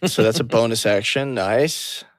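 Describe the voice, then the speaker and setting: A man's voice: mid-range voice, a little slow, no room sound, clean studio sound. A man acting as game master, running a tabletop game in an informal, conversational way. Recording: faint music bed.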